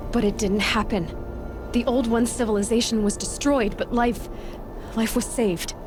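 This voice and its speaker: A woman playing a determined, sharp-tongued young huntress in a game cutscene, weary and sarcastic. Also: fairly high pitch, steady tone, moderate pace, music in the background, close to an everyday voice. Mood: questioning. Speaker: game protagonist. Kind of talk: video game cutscene acting. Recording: game audio with clear background noise.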